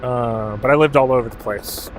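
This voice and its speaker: A man's voice: medium pitch, moderate pace, a little hiss or hum, poor audio. Laid-back, swearing male game streamer. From Alaska. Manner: relaxed and profane, calling out clock directions and ranges. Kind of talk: casual gaming livestream commentary.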